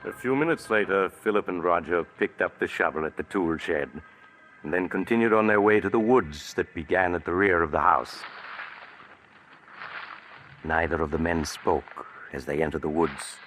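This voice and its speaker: A man giving measured, ominous scripted narration for a 1940s radio drama. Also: low-pitched, fairly bright tone, poor audio. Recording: old radio broadcast with faint hiss.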